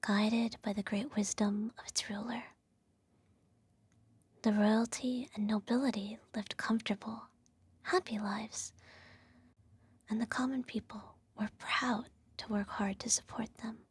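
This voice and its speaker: A woman playing a regal, self-righteous evil empress who soothes her child with a whispered ASMR bedtime story in a measured, gently condescending way. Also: fairly high pitch, fairly even intonation, no echo. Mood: solemn storytelling. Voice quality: soft, breathy whisper. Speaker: ASMR roleplay performer.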